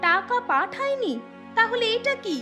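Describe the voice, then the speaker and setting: Give the cartoon a fretful village housewife with a very high voice. She questions and scolds as she talks. Music plays clearly under her lines. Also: big pitch swings, unhurried, pushed and bright, heavily acted. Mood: eager. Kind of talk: animated story dialogue acting.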